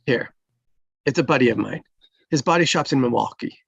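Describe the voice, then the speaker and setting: Man acting a gravelly, halting friendly cop. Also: mid-range voice, lively intonation, no echo, dull audio. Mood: friendly, joking. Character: friendly, awkwardly flirty male traffic cop. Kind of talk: live screenplay table read.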